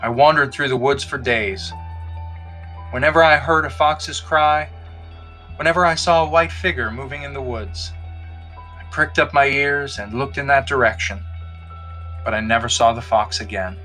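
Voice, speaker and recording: A man narrates a scripted folktale with measured expression. His voice is bright and forward, at a medium pitch. Soft music sits faintly behind the reading. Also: rough recording. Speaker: podcast host and story reader.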